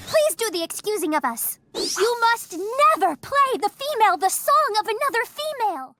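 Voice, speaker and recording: Polite cartoon female foreigner, very high voice, animated, stilted formal delivery, dry sound. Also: moderate pace, no room sound, exaggerated voice. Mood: firm, polite. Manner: stilted, over-formal wording.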